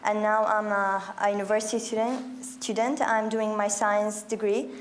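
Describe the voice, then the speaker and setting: A woman in her early twenties from Iraq, speaking as a panelist with many pauses, ums and restarts. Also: mid-range voice, level tone, a little hiss or hum. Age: 20s. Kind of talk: panel discussion.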